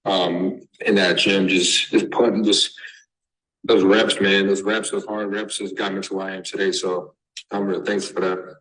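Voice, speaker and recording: Young man, halting and filler-heavy. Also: low voice, level tone, fairly bright tone, poor audio. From born in Massachusetts, raised in Texas. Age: young adult. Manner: halting, many fillers and restarts. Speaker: basketball recruit. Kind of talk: casual interview answers.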